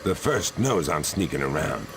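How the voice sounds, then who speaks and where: An American actor playing a gruff, wisecracking demon hunter trapped in a wooden dummy, with streetwise slang, in a video game. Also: male voice, a bass voice, sing-song, normal speed, heavily acted. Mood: sardonic, confiding. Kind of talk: video game voice acting, hard-boiled tough-guy slang. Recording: loud music behind.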